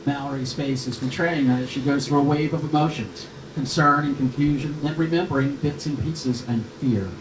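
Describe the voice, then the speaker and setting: In a roomy, echoing recording with clear noise behind, a man narrates a screenplay's stage directions. His voice sits in a middle pitch, and the delivery is scripted narration. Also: fairly even intonation, moderate pace.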